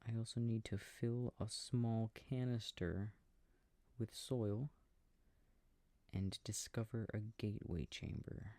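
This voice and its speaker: A man speaking softly and breathily, often near a whisper, quietly musing to himself in an ASMR sleep-aid style while playing a video game. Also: on the low side, steady tone, slow, no room sound. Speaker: audio roleplay performer. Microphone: close microphone. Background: game controller clicking.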